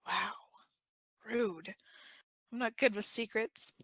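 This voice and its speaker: A woman's voice: mid-range voice, takes it slowly, a bit bright, husky. A woman voicing a playful, pleading, dramatic young man in a fan-made audio drama. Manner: teasing, dramatic.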